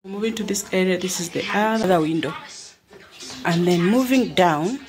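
A woman from Kenya with a Kenyan accent narrates a casual house tour in an unhurried, repetitive way, as if pointing things out. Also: fairly low pitch. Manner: unhurried, repeats phrases while pointing things out. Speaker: vlogger. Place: indoors, living room of a family home. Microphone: handheld phone or camera held by the speaker.

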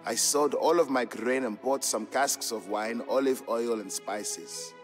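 An actor playing an Ethiopian trader and father, narrating old legends in a measured, fond storybook style for a game. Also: medium pitch, natural voice. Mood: gentle, fatherly. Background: background music.

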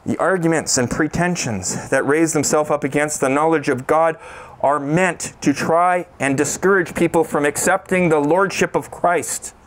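A man's voice: on the high side, normal speed, a little hiss or hum, poor audio. A man in his forties, a pastor, preaching an earnest sermon into a handheld microphone on a church stage, sometimes with small restarts. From Canada. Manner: earnest preaching, sings a chorus, gestures while speaking.

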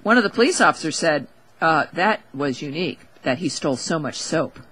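A woman's voice: sits low, animated, brisk, a bit bright, husky. A woman hosting a talk show, giving a casual, opinionated monologue in a wry, emphatic, conversational way into a close studio microphone.

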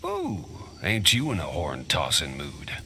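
A dangerous, amused gunslinger, with a deep Southern American drawl. His slow lines swing up and down with wry swagger. Game noise is clear behind him. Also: wildly swooping pitch, pushed and bright, heavily acted. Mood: cocky, wry. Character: cocky gunslinger mercenary cowboy, amused and dangerous. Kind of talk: fighting game character dialogue.

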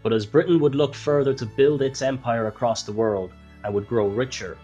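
A man with an Irish accent, narrating a scripted historical story in a measured, solemn way with dramatic pauses. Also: medium pitch, dark tone, slightly rough, lo-fi sound, soft background music. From Ireland.

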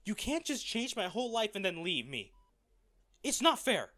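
A man playing a wealthy, devoted and distraught lover in an intimate audio roleplay, speaking earnestly and haltingly. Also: sits high, sing-song, pushed and bright, exaggerated voice. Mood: pleading, upset. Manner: halting, emotional, earnest delivery with pauses.

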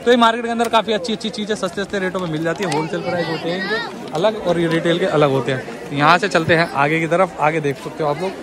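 A man's voice: on the high side, steady tone, fast talker. A man giving a casual vlog walk-through of an outdoor market, repeating prices to his viewers. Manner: repeats prices to the viewers, addressing them as brother. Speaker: vlogger. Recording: busy outdoor market crowd behind voice.

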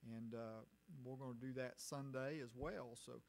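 A middle-aged man, a pastor speaking informally to his congregation from the pulpit in a halting, folksy way. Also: mid-range voice, steady tone, vocal fry. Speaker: church pastor hosting a missions conference. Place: church sanctuary, at the pulpit. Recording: faint hum and background noise.

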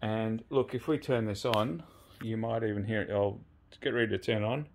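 A man's voice: lowish voice, normal speed, slight background noise. An Australian man giving a casual, laid-back how-to walkthrough in a rambling, colloquial way. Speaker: presenter of a motorcycle gear video. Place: indoors in a home garage.

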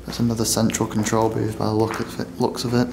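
A British man exploring an abandoned building, giving calm, musing commentary. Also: on the low side, fast, vocal fry, background noise. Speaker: urban explorer host.

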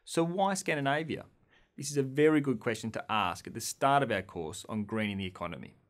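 A man in his thirties from Australia, presenting a scripted lecture to camera in a studio with an upbeat, clear delivery. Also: mid-range voice, animated, fairly quick. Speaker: course lecturer. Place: studio against a green screen.

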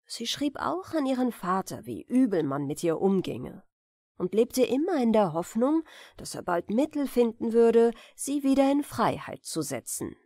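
A woman narrator giving a measured, careful, expressive reading of a fairy tale as a bedtime audiobook. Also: fairly high pitch, no room sound, clean studio sound.

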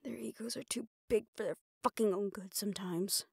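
A woman's voice: mid-range voice, fairly even intonation, a little fast, vocal fry, a little mellow, slightly rough, no room sound, clean studio sound. A woman playing a stressed, foul-mouthed, fiercely loyal mafia assistant in an audio roleplay, exasperated and clipped. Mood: angry, threatening. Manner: exasperated, clipped, heavy swearing, sighs. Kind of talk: dramatic audio roleplay, close solo performance.

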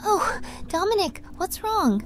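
A woman's voice: very high-pitched, very animated, takes it slowly, soft background music, heavily acted. A woman playing a kind, gracious sorceress with a soothing manner in a fantasy audio drama. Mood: friendly, caring. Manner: gentle, soothing.

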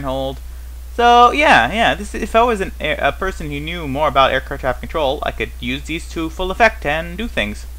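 A man with a fairly high, bright, forceful voice hosts a casual gameplay commentary. He rambles as he thinks aloud, often putting questions to himself. His humour is wry, with a mock-puzzled edge. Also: rough recording. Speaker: host of a gaming series.

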